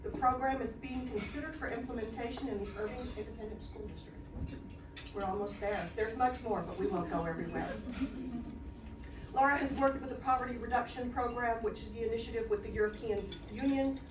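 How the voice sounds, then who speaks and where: A woman's voice: a little high, a little fast, background noise. Woman reading an introduction in an echoey hall. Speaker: event host introducing the speaker. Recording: echoey room from far off, clatter behind.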